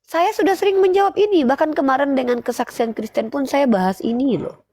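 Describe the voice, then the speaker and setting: A woman's voice: high-pitched, a little fast, warm tone. A woman arguing insistently as host of a live religious debate, often repeating her questions.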